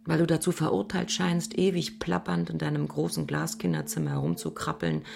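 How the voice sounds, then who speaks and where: A low, gravelly, reproachful wife. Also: female voice, expressive, fairly quick, darkish tone, heavily acted. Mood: weary reproach. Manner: intimate, accusing, often dropping to a breathy whisper. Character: disillusioned wife, weary and reproachful of her husband. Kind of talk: radio drama acting.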